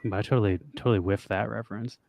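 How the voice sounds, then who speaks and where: A young man with a low, raspy voice, chatting loosely and swearing casually as a podcast co-host. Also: fairly even intonation, racing, darkish tone. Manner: loose and profane, trails off with fillers. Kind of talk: casual chat with guitar and singing.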